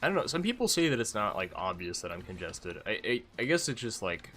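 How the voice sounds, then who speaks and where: A man's voice: lowish voice, sing-song, quick pace, bright, forceful tone, slight background noise. A man with a stuffy, congested voice giving rambling, self-mocking commentary as he streams a game. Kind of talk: casual gameplay commentary.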